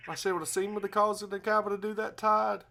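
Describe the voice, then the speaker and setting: A man's voice: sits high, flat delivery, fast, gravelly, pushed and bright, full character voice. A man with a Southern US accent playing a folksy, muddled hotel guest who rambles in double-talk on a prank phone call. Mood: insistent, muddled. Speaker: prank caller.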